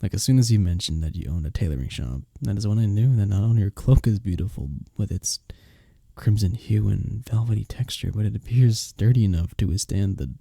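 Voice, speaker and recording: A man playing a courteous, smitten young village innkeeper in an intimate romantic fantasy roleplay, speaking softly and breathily with formal, bashful phrasing. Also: fairly low pitch, lively intonation, fairly quick, vocal fry, husky, dry recording, studio quality, exaggerated voice. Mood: earnest, admiring.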